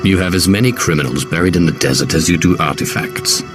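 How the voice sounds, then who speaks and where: A man playing a haughty Sith lord in a video game, speaking in a measured, curt, imperious way. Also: low-pitched, lightly acted. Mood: cool, skeptical. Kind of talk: video game character acting. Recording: dramatic music behind.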